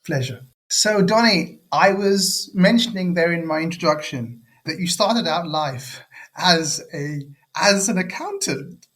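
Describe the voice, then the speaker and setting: A man's voice: on the high side, lively intonation, unhurried, a bit bright. An older man hosting a podcast interview, effusive and chatty, with plenty of fillers. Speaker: podcast host.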